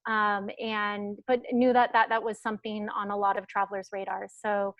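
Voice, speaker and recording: Flat-voiced woman telling a story. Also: medium pitch, moderate pace, no echo, dull audio. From United States, Pacific Northwest. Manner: thoughtful storytelling with many fillers. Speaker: guest speaker, travel company founder. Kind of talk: webinar talk.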